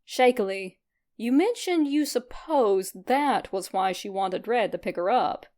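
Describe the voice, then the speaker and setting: A woman reading fan fiction aloud as an expressive, scripted story narration. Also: mid-range voice, unhurried. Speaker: fan fiction podfic reader.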